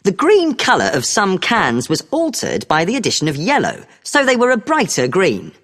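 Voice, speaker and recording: A British woman delivering a scripted academic lecture as a lecturer, in a measured, explanatory manner. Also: medium pitch, wildly swooping pitch, a bit bright, big-room sound.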